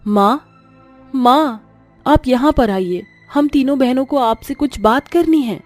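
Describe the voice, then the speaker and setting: Woman playing an earnest, pleading young daughter, higher-pitched. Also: slow pace, a little mellow, faint music behind. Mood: calling, earnest.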